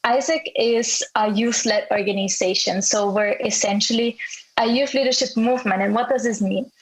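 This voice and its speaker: A woman's voice: mid-range voice, poor audio. Young woman from austria, webinar presenter, friendly, lots of ums, video call audio. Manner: friendly, many ums and restarts.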